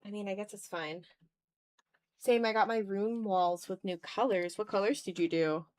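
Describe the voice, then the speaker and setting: A woman's voice: medium pitch, moderate pace, a little mellow, dry recording. A tired woman chatting casually on an art livestream while she draws, self-deprecating and often trailing off into muttering to herself.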